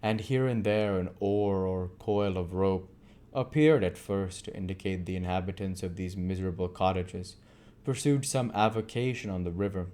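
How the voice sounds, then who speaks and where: A man's voice: low-pitched, normal speed, warm tone. A man reading literary narration aloud as an amateur audiobook reader.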